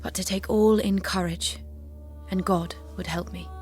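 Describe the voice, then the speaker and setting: An actress playing a devout young warrior maiden in an audio drama, earnest, grave and restrained. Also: female voice, medium pitch, fairly even intonation, measured pace, darkish tone, soft background music, natural voice. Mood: solemn, confiding. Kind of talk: solemn audio drama acting.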